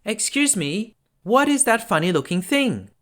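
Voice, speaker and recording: Man doing a very high, eager young princess. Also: big pitch swings, unhurried, fairly bright tone, full character voice. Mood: curious, eager. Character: curious, polite fifteen-year-old princess.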